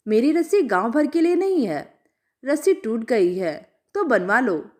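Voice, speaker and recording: A woman voicing a sharp-tongued ageing village widow in a storybook reading, blunt, probing and rustic in manner. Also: fairly high pitch, big pitch swings, unhurried. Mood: curt.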